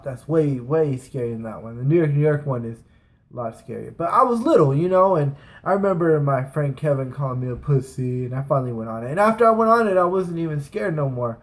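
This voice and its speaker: A man with a slightly high voice tells an anecdote in a casual vlog style. He rambles along in loose, slangy phrases, swearing freely and leaning on his words for emphasis. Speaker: storytelling vlogger.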